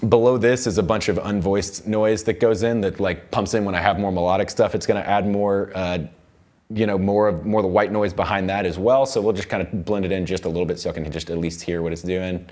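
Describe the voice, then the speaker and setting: An American man in his thirties giving an informal software tutorial as an instructor, often repeating and rephrasing himself as he goes. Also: low voice, steady tone, a little fast. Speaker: audio production instructor. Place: recording studio control room.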